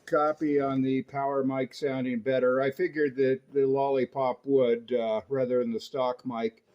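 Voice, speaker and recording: A man's voice: medium pitch, flat delivery, moderate pace, highs cut off. An American man chatting casually and enthusiastically over CB radio, explaining things like a keen hobbyist.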